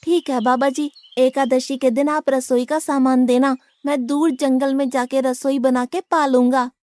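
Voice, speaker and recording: A naive, food-loving young ashram lad from a cartoon, voiced by a woman. The voice is high, and he talks bluntly and artlessly with a cheeky, complaining edge. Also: fairly even intonation, a little slow, darkish tone, no room sound. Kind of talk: animated moral story dialogue.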